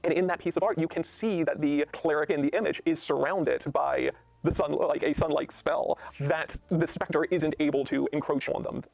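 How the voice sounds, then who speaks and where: Guy game designer, bit high and creaky, dry sound, explains stuff with lots of examples. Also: steady tone, normal speed, darkish tone, no room sound. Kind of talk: enthusiastic explanation in a panel discussion.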